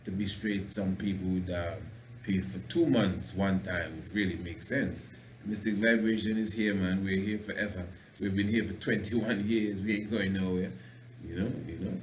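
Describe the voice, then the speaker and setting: A man's voice: low voice, steady tone, normal speed, slight background noise. A man, a priest hosting a radio talk show, preaching in a passionate, rambling way and playing with words. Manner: passionate, rambling, fond of wordplay. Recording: roomy echo.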